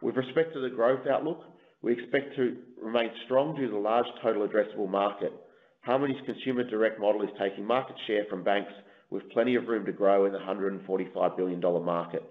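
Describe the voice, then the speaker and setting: Man, lowish pitch, company executive, scripted investor presentation, measured formal delivery, narrow phone-quality audio. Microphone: conference call line. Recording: thin phone-like conference call line.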